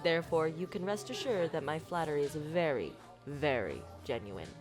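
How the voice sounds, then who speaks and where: A flirty female pirate captain, acted by a woman, speaks in a low voice with music clearly playing behind her. She is silver-tongued yet sincere, delivering flowery, romantic lines with deliberate pauses. The style is an intimate ASMR roleplay, grateful and tender toward the listener. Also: lively intonation. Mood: flirty, charming. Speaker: audio roleplay performer. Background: background music.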